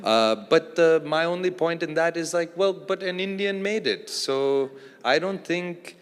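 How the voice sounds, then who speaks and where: A man, an actor and producer from Australia, speaking as a panel guest in a relaxed, thoughtful way with many fillers. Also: on the high side, measured pace, smooth. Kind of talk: casual panel conversation. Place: large event hall on stage. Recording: long reverb of a large hall.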